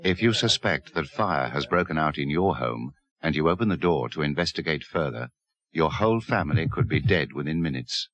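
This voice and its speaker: A man's voice: extremely low pitch, animated, fairly quick, a bit bright, highs cut off. A man with a British accent narrating a scripted safety warning as an advert voice-over.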